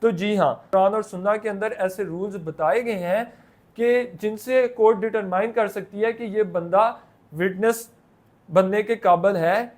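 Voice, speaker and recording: A man's voice: high-pitched, a little mellow. A man with a Pakistani accent teaching law, explaining step by step in simple terms and often repeating himself. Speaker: law lecturer.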